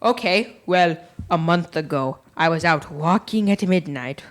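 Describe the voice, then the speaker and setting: A woman's voice: on the low side, a little slow, lightly acted. A young female performer playing a pompous, superstitious schoolmaster telling ghost tales theatrically in a radio play. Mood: eerie, grandiose. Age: young teen. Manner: ornate, theatrical storytelling. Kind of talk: stage radio play acting.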